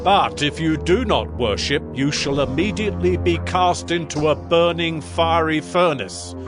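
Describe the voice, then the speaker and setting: An actor playing a proud, commanding king of Babylon in a dramatized audio Bible, declaiming in an imperious, measured way. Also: on the high side, natural voice. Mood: imperious, threatening. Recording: music behind the voice.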